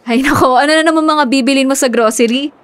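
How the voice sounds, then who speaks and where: A woman's voice: sits high, fast talker, close to an everyday voice. A woman acting in a radio drama as a teasing, impatient friend with a wry manner.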